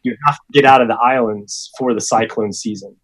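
A man, a guest who lives on a sailboat, telling stories in a relaxed, chatty way. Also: medium pitch, fairly quick, poor audio. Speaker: guest, sailor living on a boat. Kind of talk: casual storytelling.

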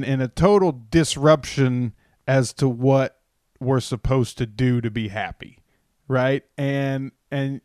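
A man's voice: medium pitch, a little mellow, clean tone, dry recording, clean studio sound. A man hosting an interview, asking long rambling questions full of restarts. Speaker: podcast host. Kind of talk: conversational interview.

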